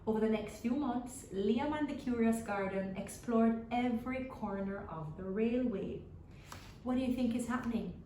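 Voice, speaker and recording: A woman reading a children's story aloud expressively, pausing to put simple questions to her young listeners. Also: fairly high pitch, warm tone, echoey room, slight background noise, rough recording. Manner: asks simple, repeated questions as to small children.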